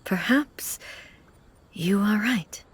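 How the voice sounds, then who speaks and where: An actress playing a majestic, reserved shogun deity in a video game, speaking in a measured, formal and gentle manner. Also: female voice, mid-range voice, a little hiss or hum. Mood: gentle, composed. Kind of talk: video game character acting.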